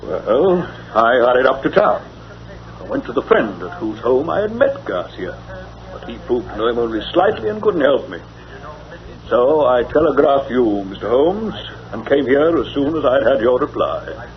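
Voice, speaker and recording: An actor playing an earnest, proper English gentleman in a vintage radio drama, speaking emphatically and formally with a British accent. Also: mid-range voice, very animated, normal speed. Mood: agitated, amazed. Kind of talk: mid-century radio drama acting. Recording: dull vintage radio recording.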